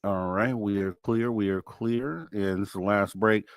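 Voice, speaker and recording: A man's voice: fairly low pitch, unhurried, clear, resonant tone, no room sound. A man hosting a live radio talk show in a casual, informal, effusive way.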